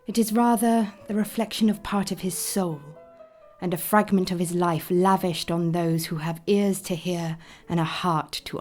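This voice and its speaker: A woman's voice: fairly low pitch, a little mellow, natural voice. A woman playing an ardent, admiring nineteenth-century lady reading her letter in a radio play. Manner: earnest, formal, literary. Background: music.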